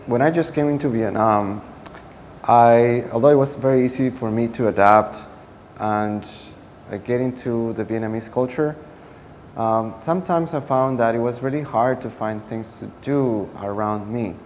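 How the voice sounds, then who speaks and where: A man's voice: mid-range voice, normal speed, darkish tone. A man from Peru, a startup co-founder answering interview questions earnestly while searching for his words. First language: Spanish. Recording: echoey room with faint noise.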